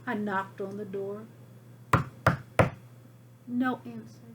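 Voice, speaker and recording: A woman reading a children's storybook aloud in an expressive storytelling style. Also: mid-range voice, slow, heavy background noise. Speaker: storybook reader.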